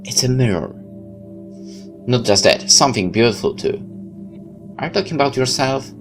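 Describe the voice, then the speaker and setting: A man's voice: mid-range voice, expressive, normal speed. A man reading a visual novel aloud in first person, sometimes stepping out of the narration for casual comments. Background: background music.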